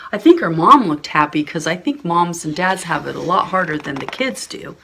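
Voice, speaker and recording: A woman's voice: on the low side, expressive, moderate pace, gravelly, echoey room, distant mic, background noise. A woman librarian leading preschool storytime, sing-song and encouraging as she talks to small children. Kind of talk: preschool storytime with songs and reading.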